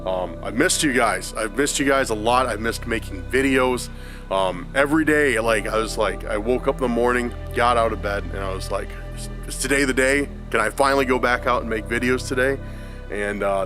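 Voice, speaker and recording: Congested man vlogging on a forest trail. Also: mid-range voice. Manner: rambling, chatty, many fillers and restarts. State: congested from a cold. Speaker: paranormal vlogger. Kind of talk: casual vlog talk to camera.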